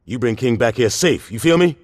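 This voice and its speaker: An actor playing a seasoned gang leader who gives orders bluntly and firmly in a video game cutscene. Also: male voice, medium pitch, animated, brisk, slight background noise, close to an everyday voice. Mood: urgent. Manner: blunt, commanding.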